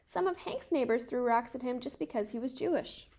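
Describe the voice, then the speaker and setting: A woman in her twenties reading a children's picture book aloud in a careful, measured way. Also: a little high, lively intonation, darkish tone. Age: 20s. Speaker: storybook reader.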